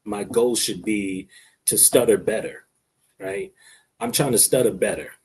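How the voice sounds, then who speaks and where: A man's voice: fairly low pitch, big pitch swings, a little slow, vocal fry, darkish tone, slightly rough. A man who stutters, talking in a casual discussion as a guest, with frequent hesitations and repeated words.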